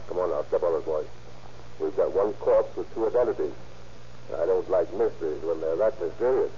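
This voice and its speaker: An actor playing a brisk, commanding homicide captain in old radio crime drama style, clipped and authoritative. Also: male voice, low voice, mellow, lightly acted. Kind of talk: 1930s-40s radio crime drama acting. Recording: muffled, noisy old-time radio recording.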